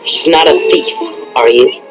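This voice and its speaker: An actor playing a small, earnest, plucky hero in fantasy film dialogue. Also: male voice, medium pitch, a little slow, close to an everyday voice. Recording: film audio with music.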